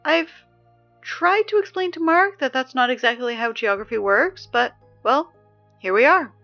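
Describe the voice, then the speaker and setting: A woman's voice: sits high, lively intonation, normal speed, gravelly, bright, forceful tone, highs cut off, soft background music, full character voice. An actress playing a teenage girl who reads school announcements over the speakers, dry and exasperated. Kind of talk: audio drama reading school announcements.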